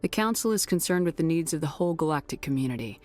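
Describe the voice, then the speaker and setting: Low-voiced resolute space commander. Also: female voice, animated, a little mellow, soft background music. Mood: composed, guarded. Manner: firm, measured, blunt. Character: resolute human female military commander in space opera. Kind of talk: cinematic game voice acting.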